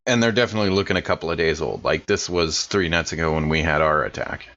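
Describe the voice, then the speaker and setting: A man's voice: a bass voice, quick pace, fairly bright tone, highs cut off. A man playing a tabletop roleplaying game, informally talking through what his character does and says. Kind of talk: casual in-character roleplay chat.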